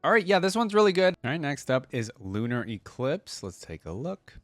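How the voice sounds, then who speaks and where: A man's voice: medium pitch, very animated, moderate pace, a bit bright, dry recording, soft background music. A man hosting an off-the-cuff reaction video, talking informally and enthusiastically as he thinks aloud. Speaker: reaction video creator and host. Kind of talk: casual off-the-cuff reaction commentary.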